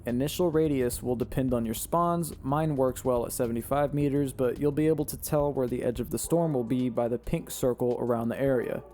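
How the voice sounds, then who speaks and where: A young man, slightly hoarse from losing his voice, narrating a step-by-step game-building tutorial as a voice-over, reading off settings one by one. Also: medium pitch, a little fast, few pauses, mellow, a little hiss or hum.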